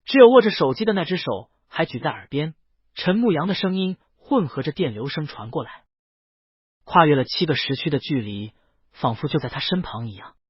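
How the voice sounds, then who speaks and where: A man's voice: a little high, expressive, a little fast, husky, no room sound, lo-fi sound. A man reads a scripted story as an audiobook narrator, voicing the dialogue himself.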